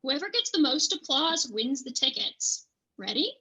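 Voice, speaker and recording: A woman playing a brash, high-energy burlesque host working the crowd in a script table read. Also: sing-song, normal speed, bright, forceful tone, heavily acted, low-quality recording. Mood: commanding, upbeat. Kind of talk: stage emcee patter in a table read.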